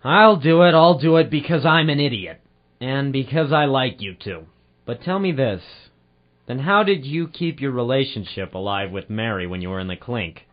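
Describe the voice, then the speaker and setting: A man playing a wry, deadpan private eye narrating his case in 1940s radio noir style. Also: mid-range voice, moderate pace, natural voice. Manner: sardonic, deadpan. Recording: dull lo-fi audio with loud music.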